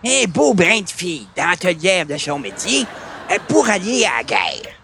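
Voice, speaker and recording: A man's voice: sing-song, normal speed, pushed and bright, a little hiss or hum, full character voice. A man playing a touchy, folksy old Quebec grandfather who rambles and exclaims in a radio comedy. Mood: storytelling. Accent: Quebec French. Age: older. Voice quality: creaky, high-pitched.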